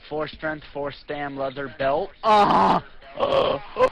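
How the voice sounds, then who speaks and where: A man on game voice chat, chanting repeated phrases broken by loud cries. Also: fairly high pitch, slow pace, creaky.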